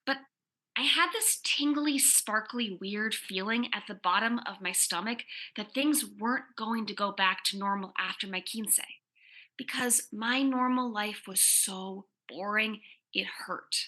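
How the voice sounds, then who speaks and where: A woman reading the part of an excitable, nerdy teenage girl in a comic reading, gushing and self-deprecating. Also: mid-range voice, unhurried. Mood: confiding, wry. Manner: gushing, chatty teenage exaggeration. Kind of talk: dramatic comic reading, first-person teen narration.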